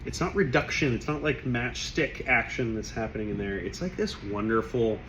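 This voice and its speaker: A man whose voice is going hoarse after a cough. He talks in loose, rambling bursts about the wine in front of him, pausing to clear his throat. His pitch sits in the middle, and faint room noise hums behind him. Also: animated, a bit bright, muffled recording. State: losing his voice. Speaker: wine shop owner tasting wines. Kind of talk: casual tasting video.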